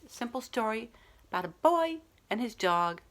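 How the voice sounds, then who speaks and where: A woman's voice: a little high, sing-song, moderate pace, a bit bright. A woman reading a picture book aloud as a school librarian, talking to young children in simple, explanatory phrasing.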